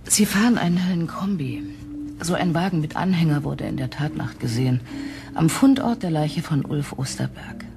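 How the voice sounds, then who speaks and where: An actress playing a stern police investigator in a crime radio play, blunt and accusing. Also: female voice, sits low, lively intonation, normal speed, music in the background. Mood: probing, stern. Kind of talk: German crime radio drama acting.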